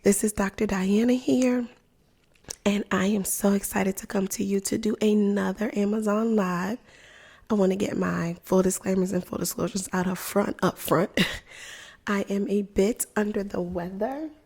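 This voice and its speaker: Hoarse, raspy-voiced woman chatting softly. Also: medium pitch, fairly even intonation, moderate pace. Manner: chatty, upbeat, repeats herself, many fillers. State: hoarse, losing her voice. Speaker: livestream host, pumping educator. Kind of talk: casual live-stream chat. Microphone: close mic, talking softly.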